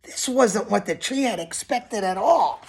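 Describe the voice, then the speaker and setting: A woman's voice: medium pitch, animated, a little slow, darkish tone, breathy edge. A woman reading a picture book aloud expressively to young children, with simple asides and questions to her listeners.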